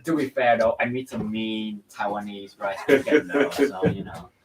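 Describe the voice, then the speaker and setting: A man's voice: on the low side, moderate pace, a crowd in the background. A man chatting casually on a live art stream, rambling and repeating himself as he tells stories. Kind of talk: casual chat while painting. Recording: distant mic with background noise.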